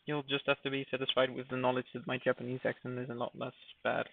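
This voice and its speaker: A man's voice: medium pitch, flat delivery, quick pace, vocal fry, slight background noise. A man giving casual live commentary while streaming a game, thinking aloud with hesitant fillers. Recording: dry, phone-like narrow sound.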